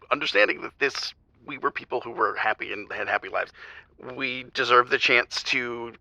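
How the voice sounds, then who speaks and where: An actor playing a heartbroken, self-blaming husband calling a radio show in an audio drama, halting and confessional. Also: male voice, medium pitch, pushed and bright, no room sound. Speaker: radio call-in show caller. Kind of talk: naturalistic audio drama acting. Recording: dull, band-limited phone-call sound.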